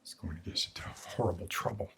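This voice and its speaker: A man playing a morbid, cynical doctor in an ASMR roleplay, lecturing in a pedantic, deliberate and bleak way with a husky voice. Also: fairly bright tone, close to an everyday voice. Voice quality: husky, breathy, low. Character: morbid, cynical male doctor treating depression with despair.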